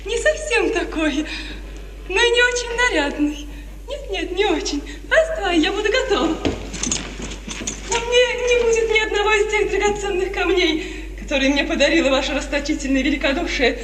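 Very high-voiced, girlish young bride. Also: moderate pace, a little hiss or hum. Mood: playful. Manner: girlish, lilting, theatrical delivery. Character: tender, innocent young bride, dreamy and playful. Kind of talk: 1950s radio theatre acting. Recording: 1950s radio recording, echoey hall, distant.

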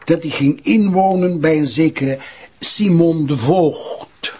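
An actor playing a forgetful, crafty priest in his eighties, with a Flemish accent and a halting, repetitive way of talking in an audio drama. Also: fairly high pitch, warm tone, a little hiss or hum. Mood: reminiscing. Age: 80s. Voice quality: husky, worn voice. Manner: slow, halting, repeating himself. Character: frail, forgetful, crafty 82-year-old priest who craves wine.

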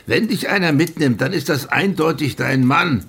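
An actor playing a blunt, exasperated police official in a German radio play, with a gravelly voice. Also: male voice, fairly high pitch, animated, normal speed, a bit bright, exaggerated voice. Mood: exasperated warning. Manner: blunt, urgent. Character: gravelly, exasperated male police official warning his friend.

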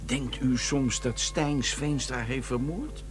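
A man playing a sharp police interrogator. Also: medium pitch, slow pace, over music, lightly acted. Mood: probing. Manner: pointed, probing questions. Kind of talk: 1990s radio drama acting.

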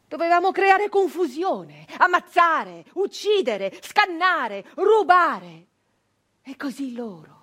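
An actress playing a fierce, embittered nineteenth-century brigand woman in an intense, declamatory theatrical monologue with dramatic pauses. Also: high-pitched, heavily acted. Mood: angry, declaiming, then bitter. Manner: intense, declamatory, with slow pauses and hushed drops. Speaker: stage actress.

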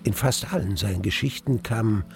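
An actor playing a brooding young gentleman who narrates his memories in a measured, reflective radio play style. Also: lowish voice, vocal fry, faint music behind. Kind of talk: first-person narration in a German radio play.

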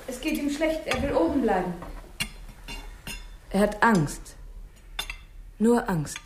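An actress playing a timid, obedient hotel waitress who speaks hesitantly in a 1960s German radio crime drama. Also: female voice, fairly high pitch, lively intonation, slow. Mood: subdued. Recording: hissy old radio play recording.

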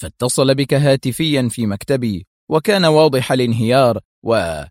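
A man's voice: mid-range voice, lively intonation, fairly quick, darkish tone, no echo, lightly acted. Guy doing a strict exasperated spy boss, bossy warning tone, audiobook thriller, close dry sound. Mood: stern. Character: stern, exasperated male intelligence director.

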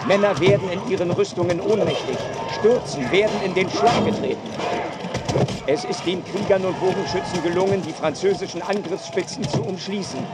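An actor as a male field correspondent at a medieval battle, in radio drama style. His pitch sits high, and very loud outdoor noise surrounds him. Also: brisk, close to an everyday voice. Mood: tense reporting. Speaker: battle reporter.

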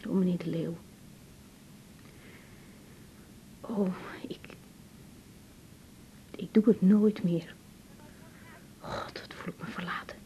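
A young woman doctor in a radio drama, compassionate and troubled. Her voice is soft-edged and mellow, hushed, hesitant and tender. The recording is dull, with faint rumble behind her. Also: fairly even intonation, takes it slowly, long pauses. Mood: distraught. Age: young adult. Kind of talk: naturalistic 1980s radio drama.

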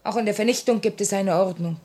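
An actress playing a principled female revolutionary in a vintage radio drama. She has a medium-pitched voice and speaks with grave, earnest firmness. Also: fast talker, mellow, natural voice. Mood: grave, firm. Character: principled, compassionate woman revolutionary, quietly firm. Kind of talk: 1950 radio play acting. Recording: vintage 1950 radio recording.

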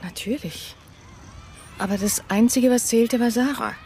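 A woman's voice: on the high side, unhurried, darkish tone, a little hiss or hum, close to an everyday voice. Protective, shaken mother played by a woman. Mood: subdued, grave. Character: protective mother, shaken by her daughter's kidnapping. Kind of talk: German radio crime drama acting.

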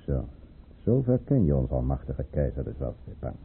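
An actor playing a scheming, high-ranking courtier in a radio play, with measured, formal, probing speech. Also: male voice, very animated, vocal fry, husky, exaggerated voice. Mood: probing, coaxing. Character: scheming, silky high-ranking male courtier conspiring against the tsar. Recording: muffled, narrow-band old radio recording.

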